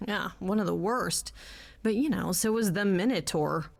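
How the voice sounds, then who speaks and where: Nerdy young bestie in a video game, teasing and wry, loyal, normal mid voice. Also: female voice, mid-range voice, measured pace, vocal fry. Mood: thoughtful. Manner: wry, teasing, hesitant asides. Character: Freddy, loyal young best friend, teasing, nerdy, warm. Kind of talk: naturalistic video game voice acting.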